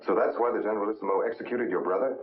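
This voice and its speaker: An actor playing a suave, wry American crime-fighter with secret powers in a 1950s film drama. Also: male voice, on the low side, very fast, close to an everyday voice. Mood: courteous, probing. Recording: tinny, noisy old film soundtrack.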